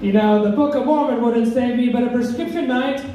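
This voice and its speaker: A man's voice: very high-pitched, fairly quick, a crowd in the background. Crude rambling man in his thirties. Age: 30s. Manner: crude self-deprecating rambling jokes. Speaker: stand-up comic. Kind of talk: stand-up set. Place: comedy club stage. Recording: echoey club from far off, noisy.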